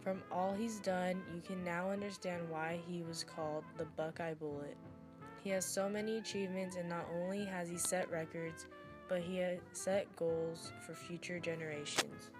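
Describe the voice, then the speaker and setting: A young woman reads a scripted sports segment. Her voice is slightly low for a woman, and music plays clearly behind her throughout. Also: fairly even intonation, normal speed. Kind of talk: scripted narration.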